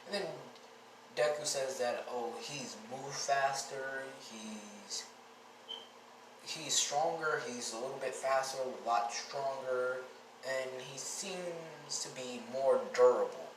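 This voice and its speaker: A man with a scratchy, raspy voice and a stuffy nose from a cold, rambling through a story and often correcting himself. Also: mid-range voice, takes it slowly. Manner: rambling, with frequent self-corrections. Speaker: fan-fiction storyteller. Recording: roomy, distant mic, noisy background.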